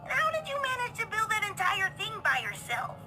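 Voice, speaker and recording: A woman's voice: extremely high pitch, wildly swooping pitch, brisk, over loud music, heavily acted. A woman voicing a tough young female cartoon pony who is hurt and uneasy, in an audio story. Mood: uneasy, probing.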